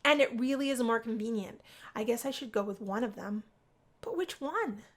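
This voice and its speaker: A woman reading scripted first-person visual novel narration aloud in an expressive way. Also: on the high side, moderate pace. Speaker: let's play reader.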